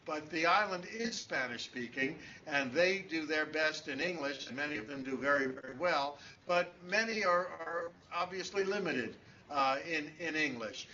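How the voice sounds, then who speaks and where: A man speaks over a muffled remote connection. His voice is bright and slightly high, and he talks with frequent fillers and restarts. A faint hum of ambience sits behind him. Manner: hesitant, many restarts and fillers. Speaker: conference speaker, law professor. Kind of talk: conference talk over remote link. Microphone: distant room microphone on a call.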